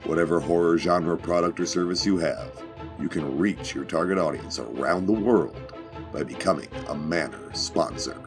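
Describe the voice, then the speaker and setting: A man with a low, deep voice delivers a scripted promo as an announcer. Music plays clearly behind him. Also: moderate pace.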